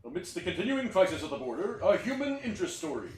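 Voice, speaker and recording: Animated, high-pitched man acting as news anchor. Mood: grave. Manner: measured, dramatic announcing. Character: grandly earnest male television news anchor. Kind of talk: staged play reading. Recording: echoey, distant, faintly noisy reading audio.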